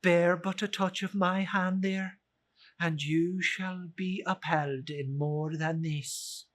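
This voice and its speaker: A man's voice: high-pitched, steady tone, slow pace. A man voicing an ageless, childlike yet elderly Christmas spirit in a storybook reading, solemn, stern and declamatory. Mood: commanding.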